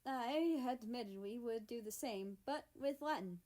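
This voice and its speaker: A woman's voice: fairly high pitch, measured pace, mellow, lightly acted. Woman reading as a playful, teasing young man.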